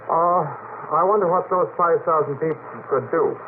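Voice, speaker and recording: Measured analytical man on tinny old radio. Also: a little high. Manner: measured, careful, analytical, hesitant starts. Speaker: radio panel guest. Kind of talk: argumentative radio discussion. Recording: noisy, phone-like vintage radio recording.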